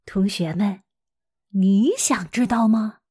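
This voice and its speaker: A woman with an expressive, dramatic storytelling manner, speaking as a teacher to young listeners. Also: medium pitch, slow pace, slightly rough, no echo, clean studio sound. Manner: dramatic, animated delivery with rhetorical questions addressed to young listeners. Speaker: teacher hosting a children's story podcast.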